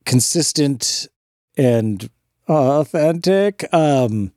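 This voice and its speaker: A man with a mid-range voice explains things in casual podcast chat, laughing easily and swearing offhandedly. His voice sits at an ordinary pitch, recorded close and dry with no room echo. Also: expressive, extremely slow, a little mellow. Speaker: podcast co-host.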